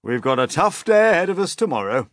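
A man voicing an old male grasshopper in a storybook, deep, slow, dignified and withering. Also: big pitch swings, dry recording, studio quality. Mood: weary, firm.

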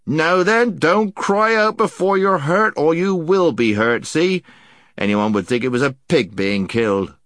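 A man's voice: a little high, expressive, measured pace, fairly bright tone, no room sound, clean studio sound, pitched above the performer's own voice. A man voicing a dour, blunt, grumbling marsh creature in a storybook. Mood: scolding. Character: gloomy, grumbling male marsh-dwelling creature, blunt and dependable.

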